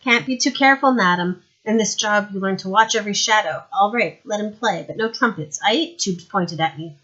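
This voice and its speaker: A woman's voice: medium pitch, brisk, fairly bright tone, dull audio, natural voice. A woman voicing a paranoid, boastful and unpleasant male ruler in an audiobook story. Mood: suspicious, boastful.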